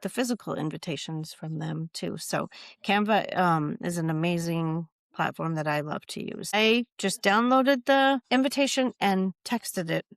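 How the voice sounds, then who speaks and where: A woman's voice: on the low side, moderate pace, gravelly, no room sound, clean studio sound. A woman co-hosting a podcast, chatting in an upbeat way and laughing often. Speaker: podcast co-host. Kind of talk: casual chat. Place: Midwest.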